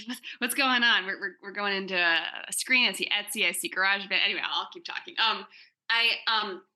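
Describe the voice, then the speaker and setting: A woman writer answering casually on a panel, animated and emphatic, correcting herself as she goes. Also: mid-range voice. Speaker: comics and screen writer on a Q&A panel.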